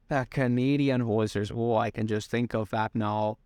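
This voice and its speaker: A young man co-hosting a casual podcast, animated and swearing freely, often restarting sentences. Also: medium pitch, measured pace, clear, resonant tone, no room sound. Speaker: podcast co-host. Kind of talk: casual chat.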